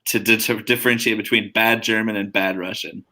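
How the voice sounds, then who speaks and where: A man with a low but bright, forceful voice riffs through casual sports talk. He swears freely and talks over his co-hosts. The delivery is loose and animated. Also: a little fast. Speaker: podcast co-host.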